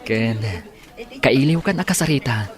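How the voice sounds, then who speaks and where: An actor playing a friendly young village suitor in an Ilocano radio drama, with an Ilocano accent. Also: male voice, mid-range voice, lively intonation, unhurried, natural voice. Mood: tender, friendly.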